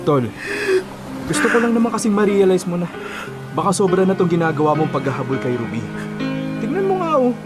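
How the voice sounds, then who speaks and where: Man acting blunt, exasperated best buddy, highish voice. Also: big pitch swings, brisk, long pauses. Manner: colloquial, blunt. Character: exasperated loyal male buddy urging friend to give up. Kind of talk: Filipino radio drama dialogue. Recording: loud music bed behind dialogue.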